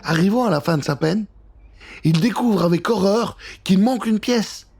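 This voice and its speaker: A man with a fairly high, slightly husky voice narrates a children's story. His delivery is expressive and suspenseful, over light background music. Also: normal speed.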